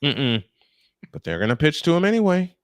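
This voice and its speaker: A man's voice: a little high, huge pitch swings, moderate pace, no echo. A man calling a baseball game live as play-by-play announcer, with conversational, opinionated asides. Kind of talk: live sports commentary.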